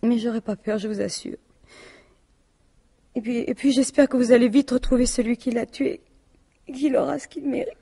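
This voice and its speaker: An actress playing a grieving, plain-spoken widow in a French radio detective drama, speaking haltingly. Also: female voice, on the high side, fairly even intonation, creaky, warm tone, slightly rough. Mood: pleading, distressed. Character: grieving, indignant widow of a murdered businessman.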